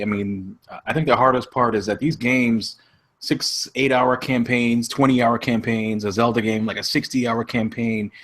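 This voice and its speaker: A man's voice: mid-range voice, fairly bright tone, slightly rough. A man on a podcast panel, talking casually and reasoning his opinion out as he goes.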